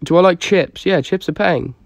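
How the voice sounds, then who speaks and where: A man's voice: sits high, normal speed, gravelly, no echo. A young man with a Dublin Irish accent chatting casually on a livestream, answering comments in a laid-back, slangy way. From Dublin, Ireland. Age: young adult. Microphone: phone held close to the face.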